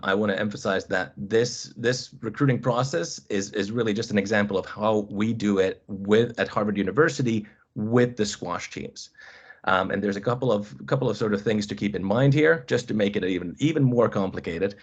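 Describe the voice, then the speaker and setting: A man in his thirties with a lowish voice talks through a webinar presentation over a video call. He hesitates a lot, fills gaps with ums and restarts phrases. The audio is dull and lo-fi. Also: moderate pace, husky. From Finland. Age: 30s. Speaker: college squash coach, guest speaker.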